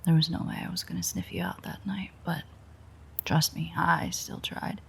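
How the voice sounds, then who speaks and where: A woman playing a sardonic, teasing, world-weary female vampire in an intimate audio roleplay, with a breathy, husky, whispery voice and a dry manner. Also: sits low, sing-song, normal speed, slight background noise, exaggerated voice. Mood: wry, reminiscing.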